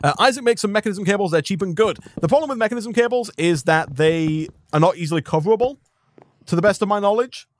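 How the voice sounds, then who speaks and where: A man commentating his own live gameplay stream in an upbeat, rambling way, thanking viewers, his voice a little hoarse. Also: sits high, fast, dry recording. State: slightly hoarse.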